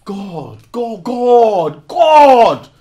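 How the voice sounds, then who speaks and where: An actor playing an angry, defensive husband and father who shouts and stammers through a quarrel with his wife. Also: sits high, sing-song, moderate pace, heavily acted. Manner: shouting, exasperated, repeating himself. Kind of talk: acted domestic drama.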